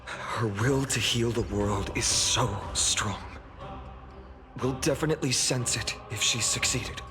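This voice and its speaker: A man's voice: mid-range voice, slow, over music, lightly acted. An actor voicing an earnest, dutiful young male warrior leader in a video game, with a solemn, heroic delivery. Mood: solemn, determined. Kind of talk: English video game voice acting.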